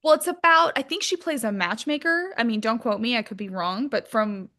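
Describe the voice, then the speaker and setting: Female guest for a casual interview, informal and playful, with a crisp forward brightness and slightly raised pitch. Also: fairly quick. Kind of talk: casual chat.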